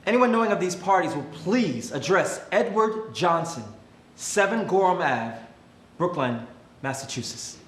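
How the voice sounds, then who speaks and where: Actor as higher-voiced freedman seeking siblings. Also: expressive, a little slow, fairly bright tone, a little hiss or hum. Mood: earnest. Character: formerly enslaved younger brother seeking lost siblings. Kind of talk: dramatic stage reading. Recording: echoey hall.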